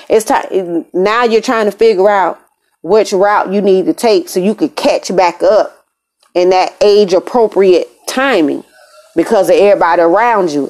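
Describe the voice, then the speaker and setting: A woman's voice: on the low side, a little mellow. An American woman hosting a podcast, speaking in a conversational, emphatic way in a casual motivational monologue, often repeating phrases for emphasis. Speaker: podcast host. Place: room in an apartment.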